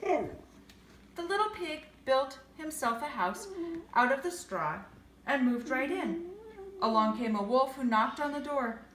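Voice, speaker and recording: In an echoey room, a high-voiced woman reads a children's picture book aloud. She takes her time, with an expressive, sing-song delivery that swoops up and down. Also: slow pace, pauses often. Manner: expressive, sing-song, with questions to young listeners. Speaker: storyteller. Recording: echoey room with faint noise.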